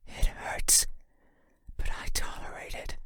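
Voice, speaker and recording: An actor playing a creepy, sorrowful giant creature in a horror game, whispering slowly and intimately in a breathy, musing way. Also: male voice, sharp tone, dry recording. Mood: eerie, regretful. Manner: slow, intimate, deliberate, musing.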